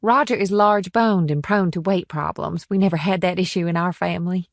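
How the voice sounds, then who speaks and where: A woman's voice: fairly low pitch, a little fast, creaky, no room sound, studio quality. A woman playing a breezy, arch, somewhat defensive wealthy mother in an audiobook drama. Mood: offhand.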